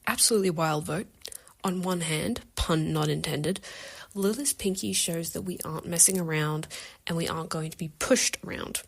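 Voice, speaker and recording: A woman's voice: lowish voice, normal speed, creaky, breathy edge. A woman giving casual opinion commentary in a listener's voice submission.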